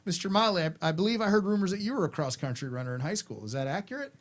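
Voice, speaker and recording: A man's voice: sits high, lively intonation, rapid-fire. A man hosting a school's afternoon announcements, friendly and instructive, repeating his points to students.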